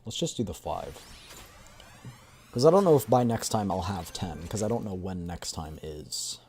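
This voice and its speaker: A man's voice: sits low, expressive, moderate pace, a little mellow, husky, soft background music. A man livestreaming a game, chatting casually with his audience. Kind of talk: casual game stream chat.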